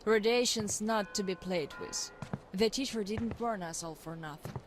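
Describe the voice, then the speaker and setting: A woman's voice: medium pitch, moderate pace, noisy background, lightly acted. An actress playing a tough, proud young woman survivor in a video game, confiding in a blunt, reflective way. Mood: matter-of-fact, resolute. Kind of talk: reflective scripted game monologue.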